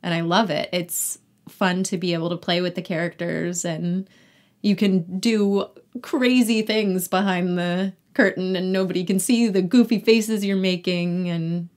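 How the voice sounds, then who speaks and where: A young adult woman with a slightly low voice speaks as a guest in a casual interview. Her delivery is conversational and informal. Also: steady tone, a little slow, darkish tone. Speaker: guest, actor and singer.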